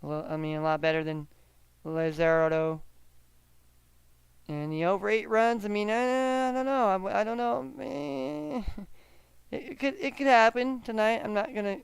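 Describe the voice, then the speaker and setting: A man hosting a casual solo sports betting chat, often halting and repeating himself as he talks through his picks. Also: sits high, steady tone, creaky, clear, resonant tone, dry recording. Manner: halting, repeats words, hedging gut calls. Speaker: sports betting show host.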